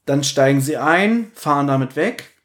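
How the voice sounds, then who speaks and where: A man with a slightly high voice talks casually as a podcast host. He retells and picks things apart in a teasing, bantering manner. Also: lively intonation, normal speed. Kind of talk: casual podcast chat, retelling and critiquing an audio drama.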